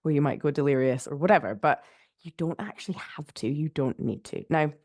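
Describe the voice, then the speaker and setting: A young woman hosting a relaxed solo podcast from her spare room, sounding congested and nasal from blocked sinuses. She chats in a rambling way, with lots of "like" fillers and light laughs. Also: low-pitched, a little fast, no room sound, clean studio sound. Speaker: podcast host.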